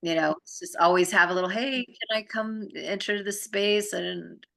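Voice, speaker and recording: A woman's voice: medium pitch, normal speed, gravelly, a bit bright. A woman in a casual chat, telling personal stories in a rambling, informal way and often trailing off mid-thought. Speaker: spiritual practitioner in conversation.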